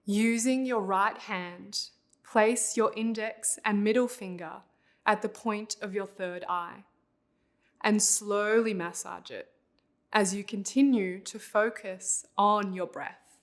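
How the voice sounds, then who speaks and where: Woman, meditation guide, mid-range pitch, very unhurried pace, calm instructional delivery.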